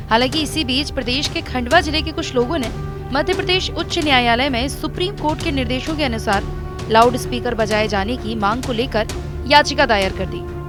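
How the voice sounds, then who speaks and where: A woman delivering scripted news narration as a voice-over reporter. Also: sits high.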